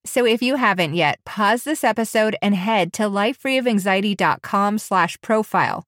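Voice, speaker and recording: A woman's voice: mid-range voice, normal speed, a bit bright, no echo, studio quality. Chatty woman, nasal from a cold. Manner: chatty, giggly, self-deprecating. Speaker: podcast co-host. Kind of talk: casual podcast chat.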